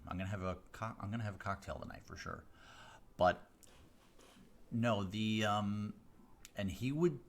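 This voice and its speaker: A man from the Chicago area, a mentalist hosting a live stream, chatting casually with viewers on a close studio mic, rambling with fillers and restarts but slowing down with deliberate pauses. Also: on the low side. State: voice deeper than usual today. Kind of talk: casual chat answering viewer questions. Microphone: close studio microphone.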